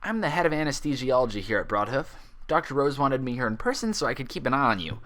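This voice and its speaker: A man's voice: mid-range voice, expressive, fast talker, a bit bright, pitched above the performer's own voice, close to an everyday voice. A man voicing a kindly, casual pony doctor with a reassuring bedside manner in a story reading. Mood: explaining. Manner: easygoing, reassuring bedside chatter.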